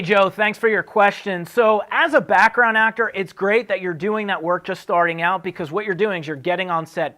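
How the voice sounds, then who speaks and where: A man's voice: high-pitched, fairly bright tone. A man speaks as the host of an advice show. His delivery is casual and conversational, explaining things step by step as if talking straight to the listener. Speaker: host answering acting career questions. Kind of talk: casual advice talk to camera.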